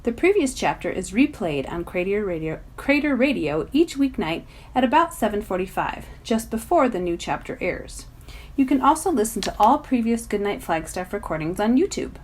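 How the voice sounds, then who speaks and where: A woman reads a children's novel aloud in expressive narration. Her medium-pitched voice moves up and down a great deal, as a volunteer storyteller would. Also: moderate pace.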